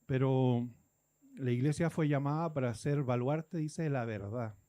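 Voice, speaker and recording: A man's voice: medium pitch, measured pace, mellow. A man from Guatemala, preaching a conversational sermon and acting out churchgoers' complaints.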